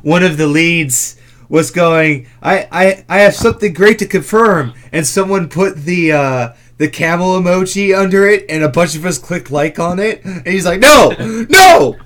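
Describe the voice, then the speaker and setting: A man's voice: high voice, animated, measured pace, a bit bright. A man hosting a gaming podcast in casual chat, with a wry, stop-and-start delivery. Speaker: podcast host.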